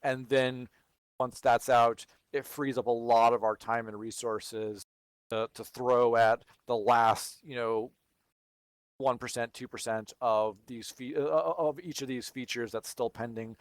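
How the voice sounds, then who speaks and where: Man chatting casually with smooth voice. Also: medium pitch, steady tone, measured pace, dry recording. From Texas. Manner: thinks aloud, reading and answering viewer questions. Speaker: developer hosting a livestream. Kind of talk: casual live chat with viewers.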